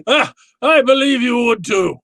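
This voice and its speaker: An actor playing a jovial, scheming, genteel crime boss in old time radio style, with florid, courtly, deliberate politeness. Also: male voice, extremely high pitch, expressive, fairly bright tone, dry recording, exaggerated voice. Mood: suave menace.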